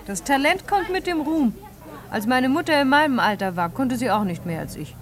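An actress playing an ambitious, stubborn young actress in a 1950s radio play, flippant, coaxing and self-assured. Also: female voice, sits high, expressive, moderate pace. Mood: scornful. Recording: 1950s radio drama recording.

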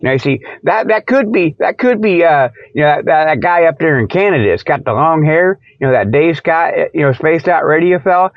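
A man with a notably high voice hosts an improvised comedy monologue. He talks in a folksy, repetitive, rambling way and clearly enjoys his own jokes. The sound is dry and slightly dull, like lo-fi radio. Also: expressive, brisk, hardly any pauses, no room sound. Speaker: host of a comic Bigfoot report show.

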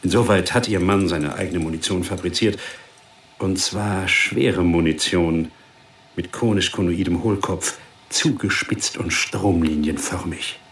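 Crime radio play ballistics man: matter-of-fact, explanatory, low voice, roomy and slightly distant. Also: measured pace, a bit bright. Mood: dryly expert. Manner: precise, explanatory. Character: expert male ballistics examiner, precise and matter-of-fact. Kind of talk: radio crime drama dialogue. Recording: echoey room, off the mic.

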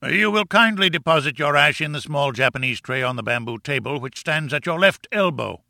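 A man plays an arrogant professor from a classic storybook, insolent and domineering. He booms as if lecturing a class, condescending in every phrase. The voice is forceful and bright, in a dry, close recording. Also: animated, brisk, vocal fry, no room sound, full character voice. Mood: lofty, condescending. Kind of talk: audiobook character dialogue.

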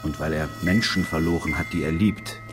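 An actor playing a gentle, thoughtful man who listens patiently, speaking haltingly in a naturalistic radio drama. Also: very deep voice, steady tone, music in the background. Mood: earnest.

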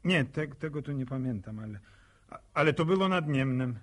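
Man playing a husky, halting young farmer. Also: medium pitch, lively intonation, lightly acted. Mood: hesitant, thoughtful. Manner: hesitant, stammering, plain-spoken folksy speech. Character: shy, curious young farmer, unschooled and earnest. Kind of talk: naturalistic radio drama acting.

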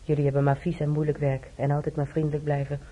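An actress playing an older hospital patient in a radio drama, motherly and consoling, speaking haltingly and reassuringly. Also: low-pitched, monotone, dark tone, muffled recording. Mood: sympathetic. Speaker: radio play actor. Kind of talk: naturalistic radio drama dialogue.

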